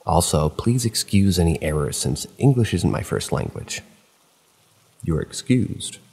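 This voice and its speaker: A man with a very deep, husky voice reads stories aloud in a steady, even manner, now and then dropping a dry remark of his own. A long reverb trails after his words. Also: a little slow. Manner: steady storytelling with dry asides. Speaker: narrator of online horror stories.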